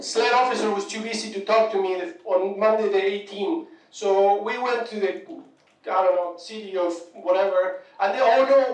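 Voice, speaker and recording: Upset Argentine man in an echoey courtroom. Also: high-pitched. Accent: Argentine Spanish. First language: Spanish. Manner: halting, upset, repeats words. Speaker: crime victim addressing court. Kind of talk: impassioned victim statement. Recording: echoey courtroom audio from a distant mic.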